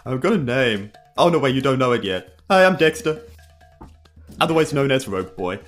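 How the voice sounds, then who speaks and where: A woman's voice: very deep voice, lively intonation, moderate pace, faint music behind. A woman playing a tabletop game on a podcast, with casual, joking banter and theatrical asides.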